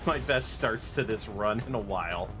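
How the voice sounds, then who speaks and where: A man's voice: fairly low pitch, animated, normal speed. Chatty man commentating over game music. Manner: playful, chatty, theatrical asides. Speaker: speedrunner streaming live. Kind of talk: casual live gameplay commentary. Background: game music and sound effects.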